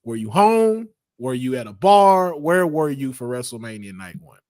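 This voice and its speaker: A man hosting a casual podcast, raspy and hoarse after losing his voice, joking and laughing as he talks. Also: medium pitch, sing-song, moderate pace, darkish tone, no room sound, clean studio sound. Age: adult over twenty-two. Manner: playful, joking, laughs often. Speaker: podcast host. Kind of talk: casual chat.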